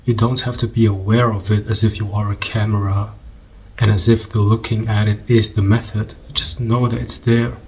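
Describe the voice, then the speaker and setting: A man leading a guided meditation in an informal, conversational way, unhurried and gently instructing, with pauses. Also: on the low side, little pitch movement, a little hiss or hum, rough recording.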